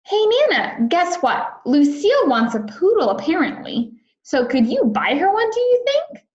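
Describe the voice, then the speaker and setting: A woman's voice: very high-pitched, big pitch swings, normal speed, darkish tone, muffled recording, voice pushed up. A woman voicing an eager little girl with childlike, emphatic phrasing in a children's storybook read-aloud. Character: eager little girl narrating her sleepover adventure.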